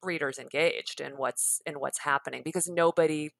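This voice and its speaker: A woman's voice: deep voice, fairly even intonation, normal speed, gravelly, no echo. A woman guest author in a conversational interview, chatty, laughing often and trailing off to restart her thoughts.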